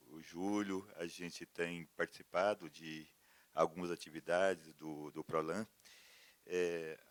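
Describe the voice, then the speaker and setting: A man's voice: deep voice, a bit bright, no room sound. A man from Goiás, giving reflective conference remarks in a rhythmic, listing way. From Goiás, Brazil. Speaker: academic speaker.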